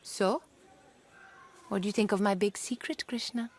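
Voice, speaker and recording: An actress playing a proud, girlish young Indian wife in a radio drama, with a theatrical, coquettish and excitable manner. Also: mid-range voice, normal speed, a little hiss or hum, natural voice. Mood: earnest, confiding.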